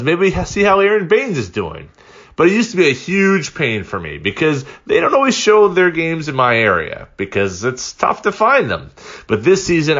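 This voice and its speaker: A man hosting a podcast, reading a sponsor ad in an upbeat, conversational sales pitch. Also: fairly bright tone, dull audio. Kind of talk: conversational scripted ad read.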